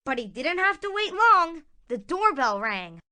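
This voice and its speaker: A woman's voice: very high-pitched, big pitch swings, a little slow, pushed and bright. A woman narrating a scripted children's story in a dramatic storytelling manner.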